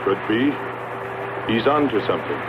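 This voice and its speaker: A man playing a reasoning, steady space expedition leader in dubbed 1960s science fiction film acting. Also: fairly low pitch, normal speed. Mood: reasoning, open-minded. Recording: muffled, very noisy old film soundtrack.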